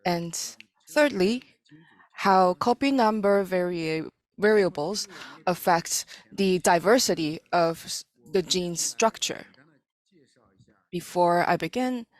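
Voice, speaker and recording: Lady interpreter, kinda low voice, slow and choppy, keeps fixing her words. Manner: halting, with frequent self-corrections and pauses. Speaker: simultaneous interpreter. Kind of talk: live interpretation of an academic talk.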